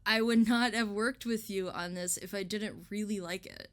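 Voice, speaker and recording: A woman's voice: medium pitch, fairly even intonation, normal speed. A young woman chatting casually in an interview, effusive and giggly, with informal, sweary phrasing. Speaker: illustrator and interviewer.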